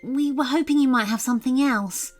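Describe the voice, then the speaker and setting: A woman voicing a hungry unicorn who whines slowly and drags out her words. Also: sits high, pitched above the performer's own voice. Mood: hopeful. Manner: slow, drawn-out complaining. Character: hungry young unicorn, whiny.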